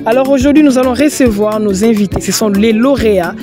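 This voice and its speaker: A man hosting an online interview show, greeting his followers warmly and introducing guests. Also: extremely high pitch, a little fast, over music. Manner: friendly, promotional greeting to followers. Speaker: host.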